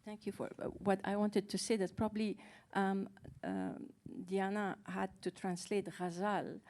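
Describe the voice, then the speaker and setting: A woman co-translator who is a native Farsi speaker, talking on a panel, hesitant and pausing often to search for words. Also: medium pitch, darkish tone. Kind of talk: casual panel talk.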